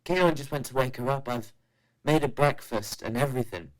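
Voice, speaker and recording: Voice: medium pitch, a little fast, lightly acted, rough recording. A performer playing an earnest, brainy teenage son in an audio drama.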